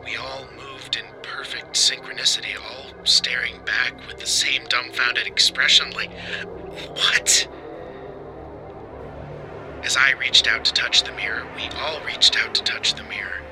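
A man playing a shaken hotel guest on a tipline, telling a strange experience in a halting, conversational way for an audio drama. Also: medium pitch, steady tone, over music, natural voice. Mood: awed, unsettled. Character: shaken, bald hotel guest insisting his nightmare was real. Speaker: tipline caller. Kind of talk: dramatic monologue in audio fiction.

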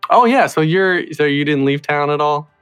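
A man's voice: a little high, lively intonation, normal speed, clear, resonant tone, soft background music. A man hosting a podcast in a casual, chatty interview style with some banter. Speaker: podcast host.

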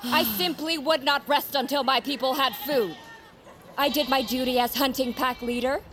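A woman's voice: high-pitched, normal speed, close to an everyday voice. An actress playing a boastful, taunting female warrior who leads a tribe's hunters in an audio fantasy drama. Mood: triumphant. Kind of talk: dramatic audio fiction acting. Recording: clear background noise.

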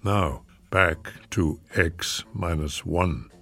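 A man's voice: low voice, very slow, gravelly, bright, forceful tone, soft background music. A man giving a scripted radio continuity announcement as the announcer.

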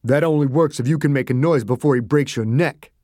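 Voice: medium pitch, a little fast, dry recording, clean studio sound, natural voice. A narrator voicing a blunt, protective man. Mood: wary. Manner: blunt, steady. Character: protective man, wary and stubborn.

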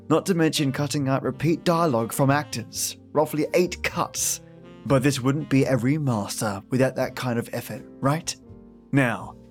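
A man hosting a podcast, giving a casual, folksy introduction. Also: medium pitch. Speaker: podcast host. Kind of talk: casual scripted show introduction. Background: soft guitar music.

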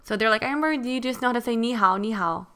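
A woman whose first language was Mandarin, chatting on a podcast with an upbeat, animated manner. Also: fairly high pitch, rapid-fire, clear, resonant tone. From United States. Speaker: podcast co-host. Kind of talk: casual chat.

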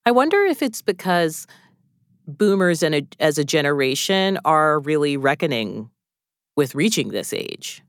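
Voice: mid-range voice, sing-song, a little slow, no echo, clean studio sound. A radio host guiding an interview, asking questions in a conversational way.

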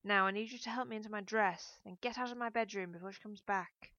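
A woman's voice: medium pitch, fairly even intonation, fast, sharp tone, dry recording, muffled recording, close to an everyday voice. A woman voicing a headstrong, sarcastic woman of twenty-four in an audiobook, firm, curt and irritated.

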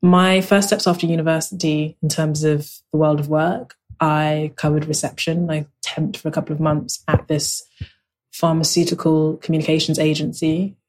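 A woman's voice: deep voice, moderate pace. A young woman, born in Nigeria, talking reflectively in an interview and leaning on fillers like "kind of". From Nigeria, raised in South London. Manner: relaxed, many fillers like "kind of". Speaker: interviewee, writer.

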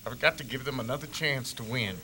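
A man's voice: medium pitch, a little fast, bright, forceful tone, low-quality recording. A man playing a stubborn, resolute male jockey who answers in firm, clipped lines.

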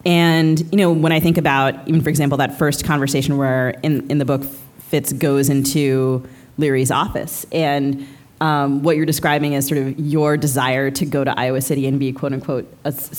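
Casting: female podcast co-host, notably low voice, thoughtful and conversational interviewer. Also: flat delivery, normal speed, darkish tone. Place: book fair event before an audience.

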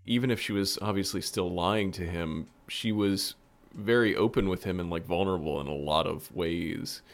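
A man's voice: sits low, moderate pace, vocal fry. A man hosting a casual roundtable conversation about a show he works on. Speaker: podcast host and show creator.

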